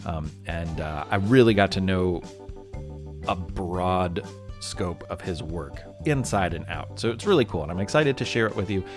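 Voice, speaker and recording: A man with a fairly low voice and very animated intonation narrates history for young listeners. He sounds conversational and playfully theatrical, like a podcast host telling a story, with music behind him. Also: moderate pace.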